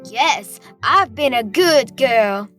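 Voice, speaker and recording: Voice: medium pitch, animated, slow pace, pushed and bright, soft background music. A performer playing an earnest, naive little schoolgirl asking questions in a horror audio drama. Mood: innocent, curious. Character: earnest, innocent little schoolgirl named Dolly.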